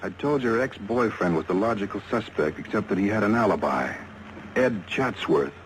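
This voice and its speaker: A man's voice: on the low side, lively intonation, normal speed, lightly acted, low-quality recording. An American man playing a businesslike, suspicious police lieutenant in a 1950s radio detective drama. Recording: vintage radio recording with faint noise.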